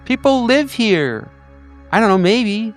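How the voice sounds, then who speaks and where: A man voicing a fussy woman scolding her dog on the sidewalk, in a shrill, scolding voice. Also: expressive, slow pace, soft background music.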